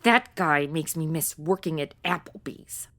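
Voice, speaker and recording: A woman's voice: low-pitched, a little slow, very noisy. A woman playing a sassy, exasperated modern young secretary in a comedy audio drama, sarcastic and casual. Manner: sarcastic, indignant, contemporary casual phrasing.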